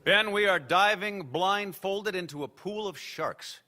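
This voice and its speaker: A driven male lawyer in a radio drama. His voice is high and forceful, with emphatic, colloquial delivery, ringing in a reverberant room. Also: unhurried, slight background noise. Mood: urgent.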